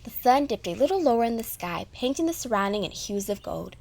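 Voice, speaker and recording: A woman's voice: a little high, very animated, a little fast, vocal fry, a little mellow. A woman reading scripted narration for a children's audio story.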